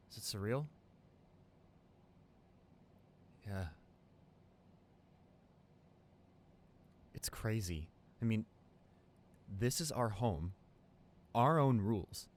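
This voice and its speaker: A man playing an excited young college boyfriend in an improvised ASMR roleplay, gushing and halting, repeating himself in sentimental amazement. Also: on the low side, a little hiss or hum. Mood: wondering, excited.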